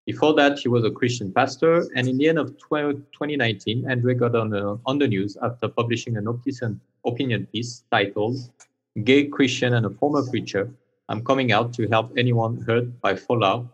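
A man hosting a podcast interview, speaking in a formal, slightly halting way as he introduces and questions his guest. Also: medium pitch, steady tone, breathy edge, highs cut off. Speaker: podcast host.

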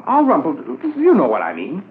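Folksy small-town shopkeeper, wordy and apologetic, animated pitch, thin vintage radio sound. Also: male voice, brisk, faint music behind. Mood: earnest, hesitant. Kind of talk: 1950s radio drama acting. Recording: lo-fi 1950s radio recording, faint noise.

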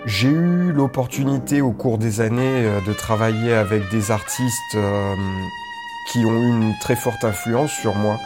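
A man's voice: medium pitch, measured pace. Hesitant Frenchman over a music bed. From southern France. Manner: hesitant, many fillers and restarts. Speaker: film composer and foley artist. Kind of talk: recorded testimony.

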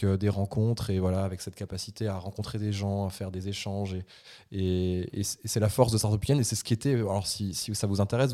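A man's voice: fairly low pitch, mellow. A man speaking as a podcast guest about supporting startups, talking eagerly with many restarts. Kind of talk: podcast conversation.

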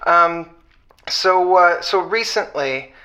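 An actor playing a sheepish, hopelessly romantic husband calling a radio show in a comedy audio drama, rambling haltingly and repeating himself. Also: male voice, high voice, bright, forceful tone, clean tone, exaggerated voice. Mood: pleading.

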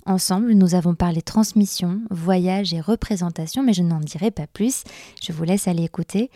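A woman presents a scripted podcast introduction. Her voice is mellow and relaxed, slightly low for a woman, in a dry, close recording. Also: moderate pace, no room sound. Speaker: podcast host.

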